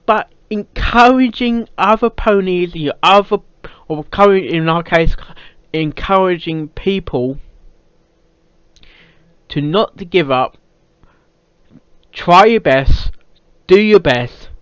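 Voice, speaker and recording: High-voiced, animated British man reacting. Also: smooth, dry recording. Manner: halting, repeats words and phrases. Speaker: reaction video host. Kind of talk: casual off-the-cuff reaction commentary. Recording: dull lo-fi microphone sound.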